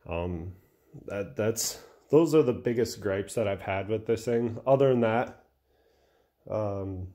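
An American man giving an informal, blunt, unscripted product review in a halting way, correcting himself as he goes. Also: fairly low pitch, a little mellow. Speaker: welder-fabricator reviewing a tool. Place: indoor metalworking garage workshop.

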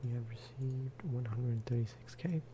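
Soft-spoken man murmuring over clear background noise. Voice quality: soft, hushed voice, at times breathing into whisper. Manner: unhurried, low-key, musing aloud. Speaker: gaming video creator. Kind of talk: soft-spoken ASMR game commentary.

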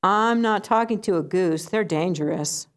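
A woman's voice: on the low side, normal speed, natural voice. A woman voicing a laid-back, friendly street pigeon who teases a little.

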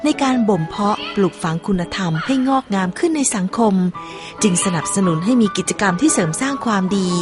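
A woman reading scripted documentary narration in a measured, polished way. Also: mid-range voice, animated, over music.

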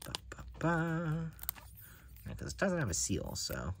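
A man's voice: a little high, wildly swooping pitch, unhurried, gravelly, background noise. A man casually narrating an unboxing of playing cards, musing to himself as he handles them. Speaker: card collector reviewing decks. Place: indoors at a table.

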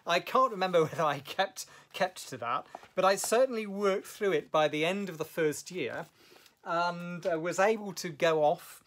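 A middle-aged man recounting his language learning in a thoughtful, measured way, with fillers and self-corrections, filmed in his home study. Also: on the high side, fairly bright tone. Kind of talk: reflective personal storytelling to camera. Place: home study with bookshelves, indoors. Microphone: camera at desk distance.

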